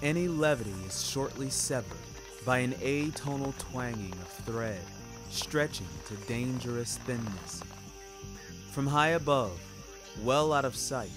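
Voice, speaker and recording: Male storyteller, unhurried and theatrical, mid-pitched, reading scripted fiction with music underneath. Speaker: fiction podcast narrator. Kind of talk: scripted first-person story narration. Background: background music.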